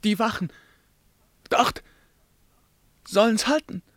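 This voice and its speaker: An actor playing a tormented emperor in a German verse radio drama, declaiming solemnly and moving between hushed and crying out. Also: male voice, sits high, takes it slowly, dry recording, clean studio sound. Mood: panicked. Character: tormented Byzantine emperor breaking free of a witch's spell.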